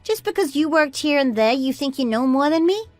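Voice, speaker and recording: Woman playing a catty, high-pitched smug sister-in-law. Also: fairly quick, no room sound, soft background music. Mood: indignant. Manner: animated, preachy, boastful, swooping into indignant questions. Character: smug, catty stay-at-home sister-in-law, scolding and boastful. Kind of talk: scripted radio drama dialogue.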